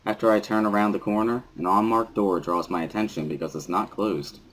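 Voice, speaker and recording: A man's voice: fairly low pitch, fairly even intonation, a little fast. A man giving a dramatic first-person reading of a visual novel as its narrator.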